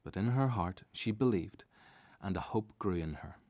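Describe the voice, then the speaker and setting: A man with a very deep voice narrates a radio play. His delivery is scripted storytelling, recorded very dry without room sound. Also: animated, moderate pace, a little mellow, no room sound.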